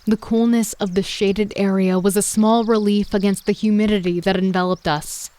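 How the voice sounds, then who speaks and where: A woman narrating a first-person survival horror story in a measured, grave manner. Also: mid-range voice, little pitch movement, warm tone. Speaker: narrator and lead character.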